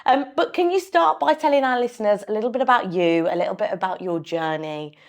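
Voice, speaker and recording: A woman's voice: mid-range voice, lively intonation, fairly quick. A woman in her mid twenties from England, hosting an interview podcast and asking questions in an encouraging way, often repeating words. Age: 20s. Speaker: podcast host. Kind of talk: casual interview talk.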